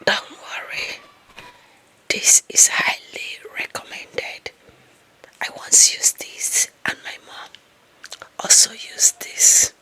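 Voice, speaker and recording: A woman with an African accent playing a possessive, lovestruck young office employee in a breathy, whispered ASMR roleplay, coaxing and fawning with slow emphasis. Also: bright, forceful tone, poor audio. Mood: fawning, eager.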